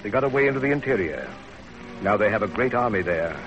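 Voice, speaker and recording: A man plays an authoritative older Chinese father in 1930s radio drama style. He speaks low, formally and deliberately. The old recording is muffled, with music behind him. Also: lively intonation, lightly acted. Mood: grave.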